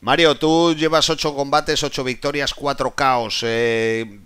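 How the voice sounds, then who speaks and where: A man's voice: mid-range voice, steady tone, unhurried, fairly bright tone, clean tone. A man interviewing a boxer, asking casual, friendly questions. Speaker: sports interviewer.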